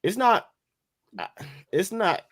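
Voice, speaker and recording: A man co-hosting a podcast, giving casual commentary in a halting, explanatory way. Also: sits high, sing-song, fairly bright tone, dry recording, studio quality. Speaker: podcast co-host.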